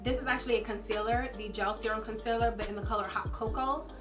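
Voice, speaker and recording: A woman's voice: a little high, steady tone, fast, over music. A young woman losing her voice with a runny nose, chatting casually through a makeup product review as a vlogger. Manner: chatty, filler-heavy, personal opinions. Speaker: beauty vlogger.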